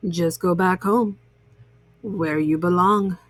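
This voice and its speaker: A woman voicing a wise, calm, bookish owl in a children's story, giving matter-of-fact advice. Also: fairly low pitch, takes it slowly, no echo, pitched below the performer's own voice. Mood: calm, knowing.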